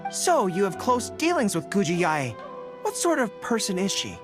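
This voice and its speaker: A man's voice: sits high, huge pitch swings, moderate pace, over music. A man voicing an earnest, dutiful young general in an English anime game, thinking aloud in formal military phrases. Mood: curious, flustered. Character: earnest young male general, dutiful, eager, slightly flustered.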